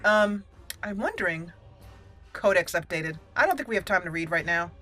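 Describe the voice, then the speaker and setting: A woman's voice: lowish voice, animated, normal speed, pushed and bright, faint music behind. A woman giving casual let's play commentary as a channel host, thinking aloud and repeating words as she goes.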